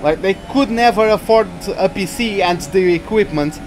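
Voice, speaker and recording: A man's voice: high voice, normal speed, a little hiss or hum. A young man with a Portuguese accent, English not his first language, rambling through live racing-game commentary and greeting viewers. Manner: rambling, hesitant, frequent restarts and fillers. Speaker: gaming streamer.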